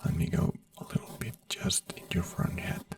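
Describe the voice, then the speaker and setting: An ASMR creator in a doctor roleplay, whispering softly in slow, soothing, simple reassuring phrases. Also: no echo, recorded from a distance.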